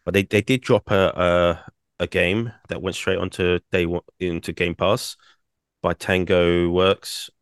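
A young man, co-hosting a casual podcast, rambling with many fillers and restarts, his throat croaky from singing. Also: low-pitched, level tone, a little slow, no room sound, studio quality. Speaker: podcast co-host.

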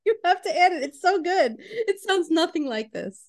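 A woman's voice: very high voice, sing-song, normal speed. A woman doing playful, theatrical dramatic readings with an exaggerated, melodramatic delivery as a podcast co-host.

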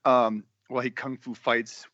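A man's voice: medium pitch, little pitch movement, normal speed, sharp tone, no room sound, lo-fi sound. A man co-hosting a podcast, giving blunt, opinionated film commentary in a casual style. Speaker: podcast co-host.

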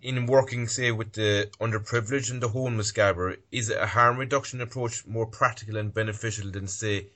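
A man asks his guest questions in a conversational way. His voice sits low, with a bright, forceful edge. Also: steady tone, fairly quick, hardly any pauses. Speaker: interviewer, radio presenter. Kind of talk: interview questions.

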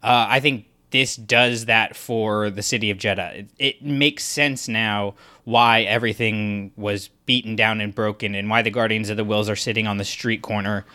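A man's voice: on the low side, fairly even intonation, moderate pace, hardly any pauses, sharp tone. A man co-hosting a podcast, chatting casually with many fillers and restarts. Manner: rambling, lots of fillers and restarts. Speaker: podcast co-host. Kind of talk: casual chat.

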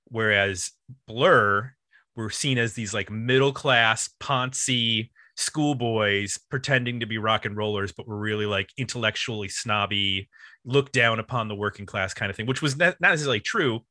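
A man's voice: fairly low pitch, moderate pace, bright, forceful tone, dry recording, studio quality. A man hosting a music podcast, casually explaining the history of an album in an informal, loosely structured way.

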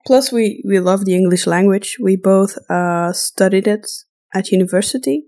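Flemish woman podcast host, native dutch speaker, medium pitch, chatty and self-deprecating, casual talk. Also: normal speed, mellow. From Flanders, Belgium. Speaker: podcast host and audio drama voice actor. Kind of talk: casual chat, answering listener questions.

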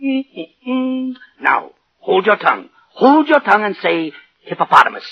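Old-radio fox con artist: high, husky, swooping delivery, gushing and falsely kind, muffled vintage sound. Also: male voice, big pitch swings, normal speed, exaggerated voice. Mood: mock concern. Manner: theatrical, gushing, falsely sympathetic. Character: sly flamboyant male fox swindler, mock-doctor flattery. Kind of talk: 1930s-1940s radio drama acting.

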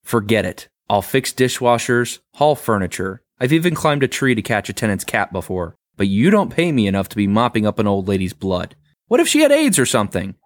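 A man's voice: fairly low pitch, very animated, a little fast, dry recording, clean studio sound. A man voicing a stubborn, crude male handyman in a read-aloud story, speaking bluntly and protesting. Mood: grumbling.

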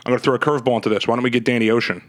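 A man's voice: mid-range voice, fairly even intonation, very fast. A man joking casually as a panelist in a group podcast chat.

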